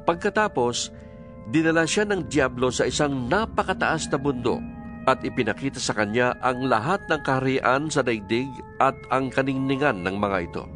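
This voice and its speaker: A man narrating a dramatized scripture reading in a measured storytelling manner. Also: mid-range voice. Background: music.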